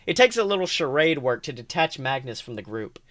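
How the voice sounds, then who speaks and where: A man's voice: mid-range voice, sing-song, fairly quick, a bit bright. A man hosting a podcast, narrating fan fiction aloud with expression. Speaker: podcast host.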